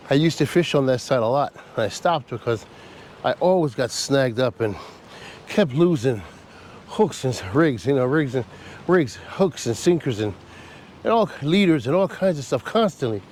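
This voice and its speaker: A man's voice: medium pitch, a little mellow, a little hiss or hum. A man with a nasal voice from a cold, chatting casually and laughing at himself while walking through an outdoor park for his travel vlog. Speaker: travel vlogger. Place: outdoor park by a causeway bridge.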